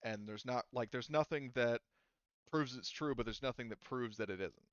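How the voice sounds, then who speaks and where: Halting man running a game, smooth clear voice. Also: mid-range voice, no room sound, muffled recording. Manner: halting, thinking aloud. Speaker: game master. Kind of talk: casual tabletop game narration.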